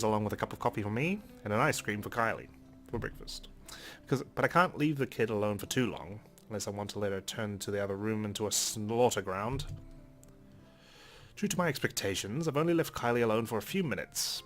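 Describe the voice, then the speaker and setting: A man's voice: lowish voice, fairly bright tone. A man hosting a let's play, reading a visual novel aloud as narration with casual commentary of his own. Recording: faint game music behind. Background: game music.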